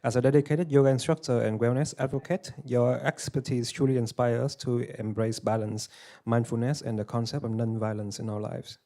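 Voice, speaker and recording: A man's voice: medium pitch, steady tone, a little fast, warm tone. A man acting as master of ceremonies, thanking and introducing speakers in a formal, polite manner with some hesitation. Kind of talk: formal event hosting. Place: conference hall.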